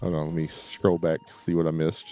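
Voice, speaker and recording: A man's voice: very deep voice, monotone, brisk, warm tone, faint music behind. A man streaming games and chatting casually in a hesitant, rambling way. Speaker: game streamer. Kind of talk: casual livestream chat.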